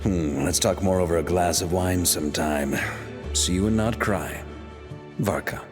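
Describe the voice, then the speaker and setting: A man's voice: sits low, a little slow, music in the background. A man voicing an easygoing, genial knight in a video game, reading out a letter casually. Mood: genial, relaxed.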